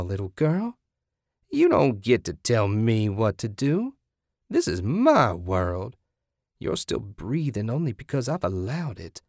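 A man voicing a menacing, sanctimonious, depraved woman in an audiobook, with a raspy, taunting delivery. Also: mid-range voice, darkish tone, no echo, clean studio sound, pitched above the performer's own voice, full character voice. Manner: taunting, sinister, drawn-out threats. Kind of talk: dramatic audiobook character voice.

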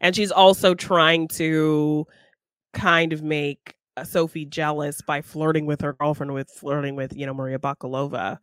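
A woman co-hosting a podcast, chatting casually about film in an animated, giggly way. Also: low voice, moderate pace, smooth, no echo, clean studio sound. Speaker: podcast co-host.

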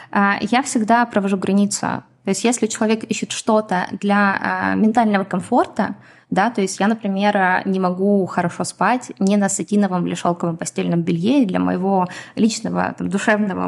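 Woman from Ukraine, podcast guest, mid-range voice, measured answers with many fillers. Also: mid-range voice, gravelly. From Donetsk, Ukraine. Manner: measured, explanatory, many hesitations. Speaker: guest, community founder.

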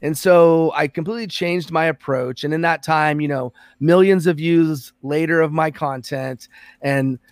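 A man's voice: a little high, fairly even intonation, measured pace, no echo, studio quality. A man born in American Samoa and raised in Los Angeles, a podcast guest telling his career story in an earnest, reflective way. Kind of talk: conversational interview.

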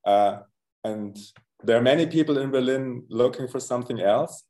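A man's voice: medium pitch, normal speed, warm tone. A man speaking as an interview guest, hesitant, with many fillers and restarts. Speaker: guest from an arts network. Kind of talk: conversational interview.

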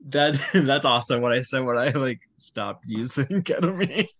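Podcast host, mid pitch, giggly and rambling, dull lo-fi mic. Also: lively intonation, normal speed, fairly bright tone. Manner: laughs often, rambling, self-correcting. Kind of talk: casual chat.

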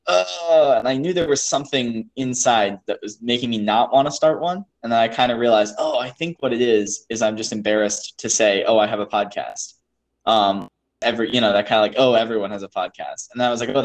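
A man's voice: medium pitch, rough recording. A man hosting a screenwriting podcast, chatting casually with a guest in a rambling way, full of fillers and restarts. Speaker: podcast host. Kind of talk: casual interview chat.

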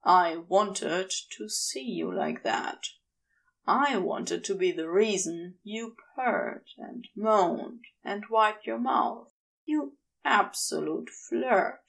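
A woman's voice: medium pitch, animated, extremely slow, gravelly, a bit bright, exaggerated voice. A woman voicing an adoring, teasing male demon tempter in a story, with a seductive drawl.

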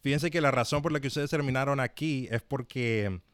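A man's voice: mid-range voice, fairly quick, fairly bright tone, no echo. A man hosting a live-streamed interview, talking with many fillers and restarts. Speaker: host.

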